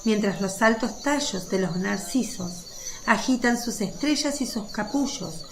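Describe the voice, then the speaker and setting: A woman's voice: medium pitch, animated, a little slow. A woman narrating a religious radio drama in a descriptive, literary reading style. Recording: faint background noise of birds and crickets.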